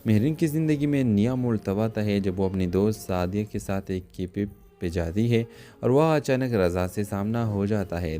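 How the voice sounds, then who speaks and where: A man's voice: on the low side, warm tone. A man reading a scripted recap of a television drama as a review narrator.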